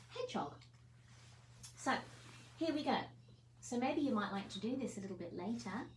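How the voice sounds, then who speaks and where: Children's story presenter: woman, encouraging, unhurried and melodic, in a roomy space. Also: on the high side. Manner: encouraging, asks listeners questions. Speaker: teacher reading to children. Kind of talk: children's story time and craft talk. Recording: echoey room, faint noise.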